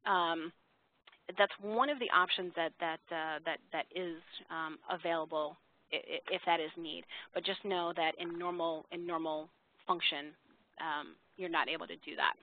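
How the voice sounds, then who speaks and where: A woman presenting a webinar question-and-answer session over a phone line, speaking politely and informally with a halting, hesitant delivery. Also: on the low side, fairly even intonation, no echo. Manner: polite, formal address to audience. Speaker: webinar presenter for a software company.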